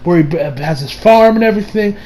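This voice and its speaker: High-voiced young man, casual review chat. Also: fairly quick. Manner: excitable, profane, rambling. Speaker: video reviewer. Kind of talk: casual movie review chat over gameplay.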